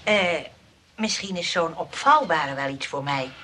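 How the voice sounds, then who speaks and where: An actress playing a polite, curious nursing sister in a comic radio play. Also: female voice, on the low side, animated, unhurried, pushed and bright, a little hiss or hum.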